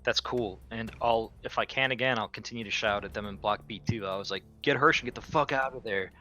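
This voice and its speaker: A man with a fairly low voice plays a tabletop roleplaying game. He talks casually, thinking aloud as he goes. Music is clearly audible behind him. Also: brisk, pushed and bright.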